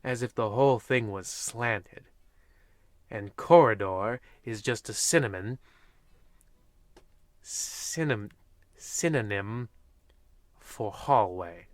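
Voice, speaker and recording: An actor playing a wry, mock-ominous host narrating a parody of vintage radio mystery shows. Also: male voice, lowish voice, takes it slowly, pauses often, no room sound. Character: wry, eerie old-time radio horror host narrator.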